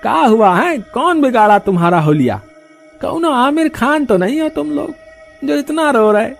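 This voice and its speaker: A man voicing a rough, hot-tempered male villager, scolding sarcastically in a cartoon. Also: very high-pitched, animated, moderate pace, faint music behind, exaggerated voice. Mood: mocking. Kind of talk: cartoon voice acting.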